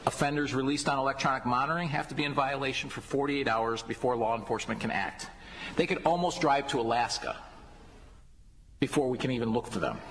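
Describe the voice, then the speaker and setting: An American man, a law enforcement official, giving a deliberate, grave public warning in a prepared statement, with an American accent. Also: medium pitch, fairly bright tone. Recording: noisy, reverberant hall, played clip.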